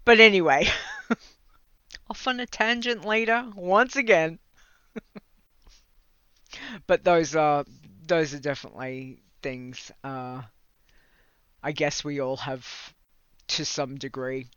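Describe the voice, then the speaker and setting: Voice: mid-range voice, takes it slowly, pauses often, bright, forceful tone, clear, resonant tone, no room sound. An Australian livestream host chatting casually with viewers, rambling, laughing often and stumbling over words. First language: English. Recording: slightly dull lo-fi mic, dry.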